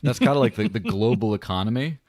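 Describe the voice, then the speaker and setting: A low-pitched man hosting a casual livestream podcast, his voice light and buttery. He rambles, jokes and pokes fun at himself. The sound is dry and close. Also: quick pace, a little mellow, no echo. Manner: joking, rambling, self-deprecating. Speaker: podcast host.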